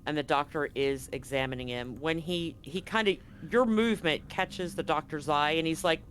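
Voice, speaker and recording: A man improvising descriptive narration as game master of a tabletop horror game, in a halting, descriptive way. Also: on the high side, a bit bright, slight background noise.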